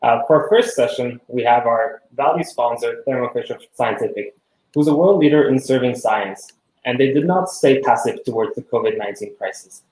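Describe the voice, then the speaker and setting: A man's voice: mid-range voice, normal speed, darkish tone. A man hosting a conference who introduces the next speaker in a polished, announcing tone. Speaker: conference host. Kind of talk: formal introduction. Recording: echoey room.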